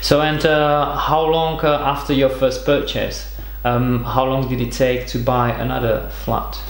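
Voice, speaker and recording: A man's voice: medium pitch, level tone, measured pace, echoey room. A man hosting an interview podcast, asking questions in a casual way with many fillers. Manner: friendly prompting with many fillers. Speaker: podcast host.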